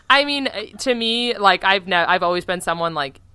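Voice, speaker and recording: A woman co-hosting a casual podcast, animated and chatty, with a loud voice, filler-heavy talk and frequent laughs. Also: medium pitch, normal speed, smooth, dry recording. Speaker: podcast co-host.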